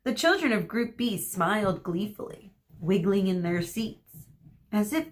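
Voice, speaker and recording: A woman reading a children's story aloud expressively as a teacher, pausing to explain words and ask questions. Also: mid-range voice, sing-song.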